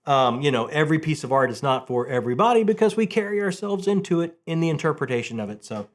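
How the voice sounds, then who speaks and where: A man's voice: a little high, sing-song, quick pace. A middle-aged man chatting casually and enthusiastically about books as a channel host, repeating phrases for emphasis. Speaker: host of a book review channel.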